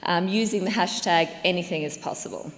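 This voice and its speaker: A woman's voice: lowish voice, a little fast. A South African woman in her late thirties giving an upbeat, earnest talk at a business conference. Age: late 30s. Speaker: conference speaker, company executive. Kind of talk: presentation to an audience. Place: conference hall. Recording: long reverb of a conference hall.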